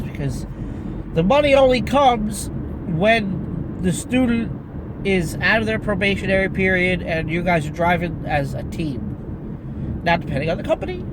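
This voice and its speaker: A truck driver giving casual, repetitive advice in a vlog, sounding stuffy and nasal from a cold, with plenty of "you know" along the way. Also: male voice, high voice, lively intonation, normal speed. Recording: loud vehicle noise behind.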